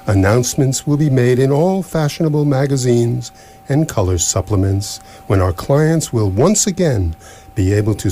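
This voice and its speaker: A man playing an ancient recorded announcement in a comic radio play, formal, officious and smoothly courteous with menace underneath. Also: medium pitch, mellow, lightly acted. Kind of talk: comic science fiction radio theatre. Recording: noisy live stage recording.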